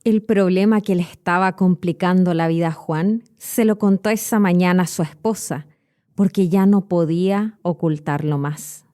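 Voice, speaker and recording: A woman's voice: lowish voice, normal speed, dark tone. A woman in her thirties with a Chilean accent, narrating scripted educational stories expressively as a podcast host. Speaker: podcast host and Spanish teacher.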